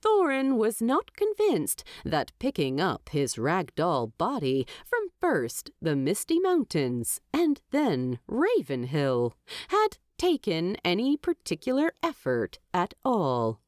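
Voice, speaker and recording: A woman narrator reads fantasy fiction at a slow, measured pace. Her voice sits a little low and moves widely in pitch, with a wry storyteller's manner. The recording is dry. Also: huge pitch swings, no echo. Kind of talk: expressive audiobook narration of fan fiction.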